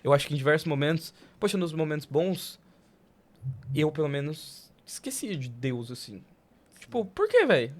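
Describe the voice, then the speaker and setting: Brazilian guy hosting a podcast, voice a bit high, casual chat. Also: big pitch swings, normal speed. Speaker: podcast host.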